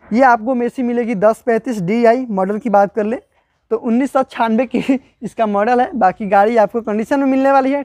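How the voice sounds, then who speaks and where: A young man vlogging from an outdoor tractor market, walking with a phone camera and pitching used tractors with a brisk salesman's patter. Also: darkish tone, dry recording. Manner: brisk salesman patter, repeats prices and calls viewers brother. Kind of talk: walking tour sales vlog.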